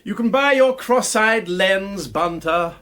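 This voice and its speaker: An actor playing a flippant, witty aristocratic amateur sleuth with an upper-class English accent and a bantering, drawling manner, in a radio drama. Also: male voice, high-pitched, animated, takes it slowly, a bit bright, exaggerated voice. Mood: teasing, playful. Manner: breezy, bantering, drawling exclamations. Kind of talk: BBC radio drama acting.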